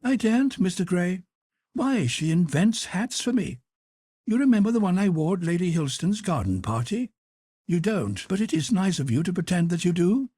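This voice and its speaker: A man's voice: sits high, big pitch swings, mellow, husky, dry recording, studio quality, voice pushed up, full character voice. A man reading a novel aloud, voicing a witty, teasing aristocratic duchess with an arch manner. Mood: playful. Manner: arch, teasing, quick-witted banter. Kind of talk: audiobook reading.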